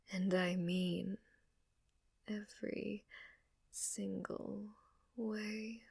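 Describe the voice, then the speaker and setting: A woman's voice: medium pitch, creaky, darkish tone, slightly rough, no room sound, studio quality, exaggerated voice. A woman playing an obsessively devoted, submissive maid in an ASMR roleplay, speaking formally, reverently and flirtatiously to her master. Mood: sultry, intimate.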